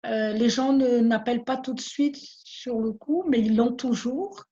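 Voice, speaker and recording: A woman's voice: a little high, a little slow, dark tone, lo-fi sound. A woman giving an interview about her business in an earnest way, often repeating words as she searches for them. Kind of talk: casual conversational testimony.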